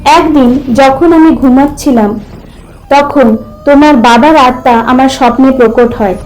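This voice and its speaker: A woman voicing a reassuring old male tantric priest who explains things in an animated story. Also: sits high, a little slow, faint music behind, rough recording. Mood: reassuring, explaining. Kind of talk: animated story character dialogue.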